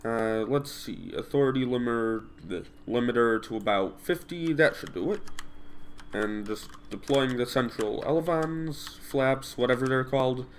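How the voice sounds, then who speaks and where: A man's voice: medium pitch, normal speed, slight background noise. A man talks through his gameplay in a casual, rambling way, often correcting himself mid-sentence. His voice is a little stuffed up from a cold. Faint typing and room ambience sit behind his desk mic. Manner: rambling, self-correcting, thinks aloud. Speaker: gameplay commentator.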